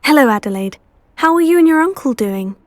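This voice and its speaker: Polite, fairly high young heroine. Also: female voice, lively intonation, fairly quick, no echo, studio quality. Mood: kindly, concerned. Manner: polite, inquisitive. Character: helpful, modest young heroine, a student witch. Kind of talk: video game dialogue acting.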